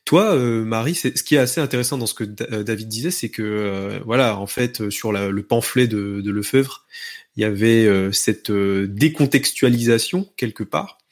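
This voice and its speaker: A man's voice: on the low side, moderate pace. A man leading a casual discussion, asking questions hesitantly with frequent restarts. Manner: hesitant, many restarts and fillers. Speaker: discussion host.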